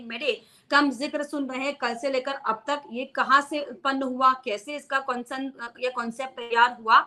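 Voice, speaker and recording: A woman's voice: high-pitched, bright, forceful tone. A woman news presenter giving an unscripted explainer to viewers, repeating key phrases for emphasis.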